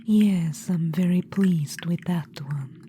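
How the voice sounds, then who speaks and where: A woman's voice: on the low side, slow pace, dark tone, slight background noise. A woman voicing an imperious, gleefully macabre undead witch-queen in a whispered audio roleplay, cooing "darling" at the listener. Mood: doting menace.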